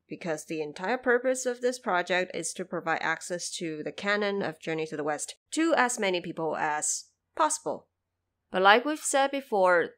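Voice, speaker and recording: A woman from China reading a scripted announcement to listeners as host and producer, with emphatic, clearly structured delivery, recorded in a bedroom home studio. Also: on the low side, fairly bright tone. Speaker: podcast host and audio drama producer.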